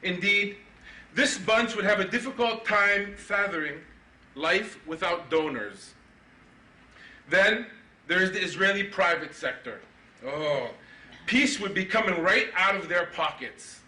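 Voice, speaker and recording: A middle-aged man giving a keynote at a conference, with a sarcastic, ironic delivery and animated mock-indignant questions. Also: high voice, a little slow. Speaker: keynote speaker. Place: conference hall. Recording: echoey hall, mic from far off.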